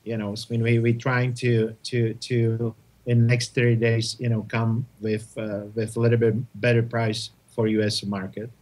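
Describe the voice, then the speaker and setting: A man from Czechoslovakia with a Czech accent, answering interview questions in halting English full of restarts. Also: medium pitch, little pitch movement, darkish tone. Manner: halting, many restarts and repeated words. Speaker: guest, testing company representative.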